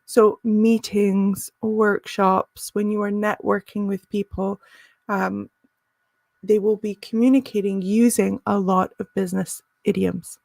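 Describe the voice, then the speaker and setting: A woman's voice: mid-range voice, level tone, measured pace, gravelly, a little mellow, dry recording. A woman teaching a live business English lesson, friendly and encouraging, with frequent "um" and "uh" fillers.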